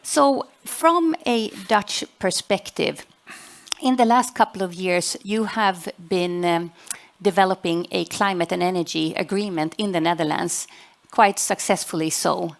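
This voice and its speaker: A woman's voice: lowish voice, unhurried, creaky. A woman moderating a panel discussion on a conference stage, introducing guests and prompting them with short encouraging backchannels.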